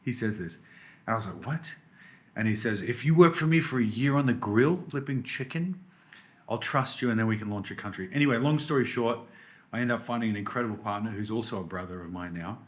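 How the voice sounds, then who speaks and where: Australian man, 30s, guest on a business podcast, low-pitched, excitable and rambling. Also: a little fast. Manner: enthusiastic, chatty, many restarts and fillers. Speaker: podcast guest, restaurant operator. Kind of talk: casual interview chat.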